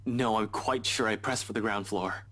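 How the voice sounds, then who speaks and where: An actor playing a tense, protective young investigator in a visual novel, speaking urgently. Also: male voice, on the low side, steady tone, moderate pace, sharp tone. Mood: shaken. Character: Ash, tense young male armed investigator, protective, swearing.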